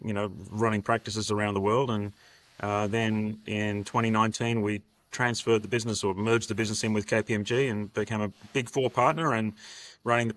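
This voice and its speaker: Low-voiced Australian man in his fifties. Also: a bit bright, no room sound. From Sydney, Australia. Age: 50s. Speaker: podcast guest, restructuring partner. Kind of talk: conversational interview, anecdotal storytelling.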